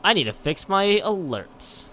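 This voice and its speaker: Bright-voiced man streaming, wildly animated pitch. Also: unhurried, a little hiss or hum. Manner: stumbling over read text, repeating and restarting words. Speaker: live game streamer. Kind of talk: reading a visual novel aloud and chatting on a livestream.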